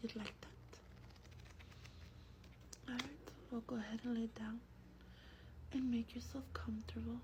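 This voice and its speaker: A woman playing an affectionate vampire in a soft-spoken ASMR roleplay, with a breathy whisper and a tender, unhurried, intimate manner. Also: fairly high pitch, little pitch movement, background noise, natural voice. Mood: tender, soothing. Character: affectionate female vampire soothing her human darling.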